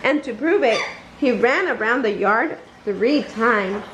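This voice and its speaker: A woman reads a children's bedtime story in a high voice. Her delivery is slow and deliberate, paced like a picture book read-aloud. The room adds a clear echo around her words. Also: animated, muffled recording. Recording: roomy echo, noticeable background noise.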